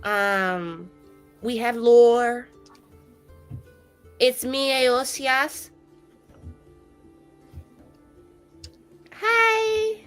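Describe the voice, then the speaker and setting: Casting: irreverent captive elf mage a woman acting, higher-pitched, slangy, rambling and sardonic, improvised tabletop game with faint music. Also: extremely slow, fairly bright tone. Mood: sardonic, exasperated. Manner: rambling, sarcastic, slangy and sweary. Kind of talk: improvised in-character roleplay, casual modern speech.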